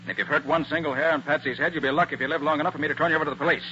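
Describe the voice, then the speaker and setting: An actor playing a confident, tough private detective in a 1940s radio drama, with an assured, commanding manner. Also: male voice, on the high side, steady tone, very fast, bright, forceful tone. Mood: threatening. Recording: muffled, hissy old radio recording.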